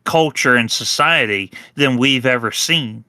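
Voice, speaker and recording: A man on a panel pushing back on a point, halting and restarting often. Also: medium pitch, pushed and bright, dry recording, studio quality. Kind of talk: heated panel discussion on a live stream.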